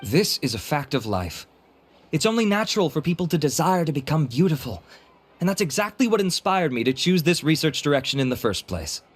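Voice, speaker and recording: A man's voice: fairly high pitch, big pitch swings, a little fast, slightly rough. A man playing a courteous, vain beauty-product researcher in a video game, speaking in a polished, formal, self-assured way. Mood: philosophical.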